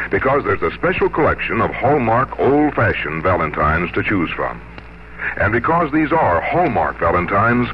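A male radio announcer from the 1950s reads sponsor copy. His voice is low and his intonation animated, smoothly persuasive in phrasing. The recording is muffled with faint hiss. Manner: polished, persuasive, measured phrasing. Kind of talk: scripted 1950s radio commercial. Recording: muffled, hissy old radio broadcast.

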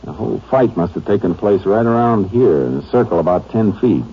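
An American man acting a laconic, sharp-eyed Texas Ranger who questions tersely and matter-of-factly in an old-time radio drama. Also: lowish voice, lively intonation, a little fast. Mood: businesslike. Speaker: actor in a radio crime drama. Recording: muffled, noisy vintage radio recording.

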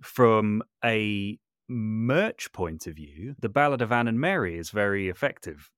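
Guy being interviewed on a podcast, kinda deep voice, casual explaining, very dry sound. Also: animated, a little slow, fairly bright tone, clean tone, dry recording. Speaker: podcast guest, audio drama maker and voice artist.

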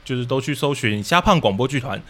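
Fast-talking Taiwanese Mandarin man, explanatory podcast guest. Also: mid-range voice, animated, a bit bright. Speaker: guest, radio drama troupe leader. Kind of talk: casual podcast interview answers.

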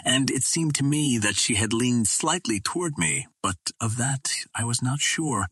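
A man reading an adventure novel aloud as first-person narration, in a measured, earnest literary manner. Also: medium pitch, animated, a bit bright, slightly rough, no echo, studio quality. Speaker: audiobook reader.

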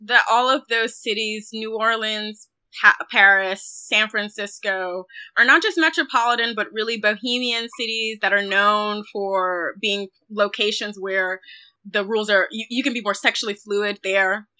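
A woman's voice: mid-range voice, fairly even intonation, moderate pace, bright, forceful tone. A woman co-hosting a film podcast, talking casually and emphatically with frequent conversational asides. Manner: conversational, emphatic, uses like and you know often.

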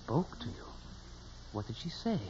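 An actor playing a concerned, kindly village man, gentle and questioning, in 1940s radio drama style. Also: medium pitch, big pitch swings, unhurried. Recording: muffled, very noisy old radio recording.

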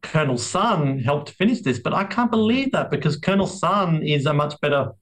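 A man giving opinions as a podcast co-host, emphatic and talking in halting bursts. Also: on the high side, lively intonation, a bit bright. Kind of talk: casual opinionated discussion.

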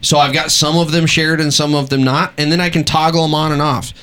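A man's voice: on the high side, little pitch movement, brisk, pushed and bright, clear, resonant tone. A man in his late thirties hosting a podcast, joking crudely with friends and explaining things at length. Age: 30s. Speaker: podcast host. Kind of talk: casual crude banter.

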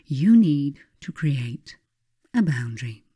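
A woman reading her own spiritual self-help book as scripted narration, speaking deliberately and earnestly straight to the listener. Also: deep voice, very animated, mellow, husky, no echo, studio quality, faint music behind.

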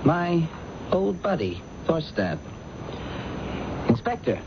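An actor playing an evasive, defensive executive under suspicion in a radio mystery drama. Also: male voice, a little high, big pitch swings, slow, heavily acted. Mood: wary, sardonic. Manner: evasive, protesting. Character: evasive, defensive male murder suspect, secretive executive. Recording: muffled, noisy vintage radio broadcast recording.

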